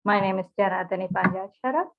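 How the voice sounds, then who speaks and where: A woman, a researcher explaining her background steadily with pauses. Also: mid-range voice. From Sri Lanka. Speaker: cancer researcher. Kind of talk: interview answers. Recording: tinny phone-like video call.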